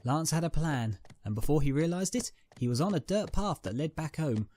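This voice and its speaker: A man's voice: fairly high pitch, expressive, normal speed, warm tone, a little hiss or hum. A man reading a scripted dramatic story as its narrator.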